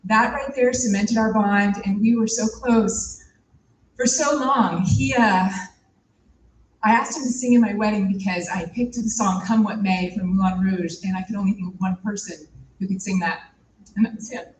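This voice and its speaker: A woman's voice: medium pitch, moderate pace, a little hiss or hum. A woman in her forties telling candid stories as a tribute on a theater stage. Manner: storytelling, candid, with fillers. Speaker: eulogy speaker. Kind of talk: personal spoken tribute. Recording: echoey stage from a distance.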